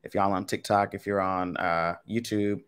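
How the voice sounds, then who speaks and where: A man's voice: low-pitched, fairly even intonation, normal speed, fairly bright tone, clean tone. A young man teaching a live online Spanish lesson, chatty and casual, talking straight to his viewers from a home webcam. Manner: casual, chatty, talks to chat with y'all. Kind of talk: live-streamed game lesson.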